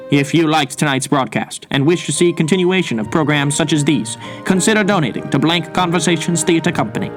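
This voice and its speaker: High-voiced male radio announcer over music. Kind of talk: scripted radio announcement of cast credits.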